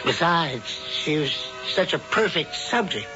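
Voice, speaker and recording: An actor playing a scheming middle-aged warlock who talks of murder in a smooth, matter-of-fact way, in a 1970s radio drama. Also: male voice, a little high, very animated, unhurried, music in the background. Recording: dull old radio broadcast.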